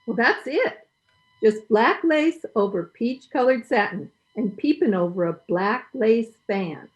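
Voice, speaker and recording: A woman's voice: a little high, lively intonation, measured pace. A woman playing a plain-spoken, gossipy housekeeper in an old-time radio comedy, folksy and emphatic, on dull call audio. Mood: knowing.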